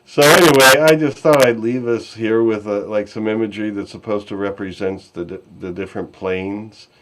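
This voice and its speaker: A man's voice: lowish voice, fairly even intonation, normal speed, a bit bright, a little hiss or hum, low-quality recording. A man casually hosting an online discussion show in a rambling, easygoing way, speaking off the cuff. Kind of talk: casual unscripted hosting and chat.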